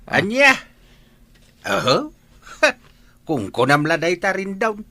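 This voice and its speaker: A man's voice: high voice, big pitch swings, slow pace, bright, forceful tone, no room sound, heavily acted. A man playing a tipsy, teasing father in an Ilocano audio drama, drawling and exclaiming. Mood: tipsy bluster. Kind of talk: Ilocano radio-style drama acting.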